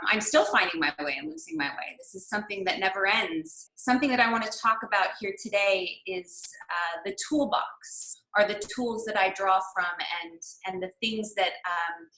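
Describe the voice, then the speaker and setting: A woman raised in the United States guides an online master class, her voice bright and forward. She speaks reflectively, searching for words with frequent pauses and fillers. The video-call audio carries a noticeable echo from the room around her. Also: fairly low pitch. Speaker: workshop leader. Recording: echoey room.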